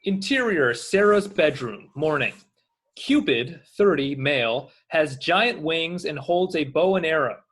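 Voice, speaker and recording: Husky, lively man reading screen directions. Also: fairly high pitch, unhurried. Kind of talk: scripted narration at a table read.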